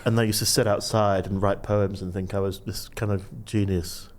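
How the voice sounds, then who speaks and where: A man's voice: fairly low pitch, level tone, moderate pace, a little hiss or hum. A man in his thirties, a guest on a podcast, telling rambling self-deprecating stories in casual chat. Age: 30s.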